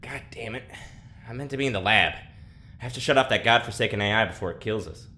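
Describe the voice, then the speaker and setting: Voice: medium pitch, quick pace, pushed and bright, slight background noise, close to an everyday voice. An actor playing a scrappy, exasperated test subject in a sci-fi audio drama, talking bluntly.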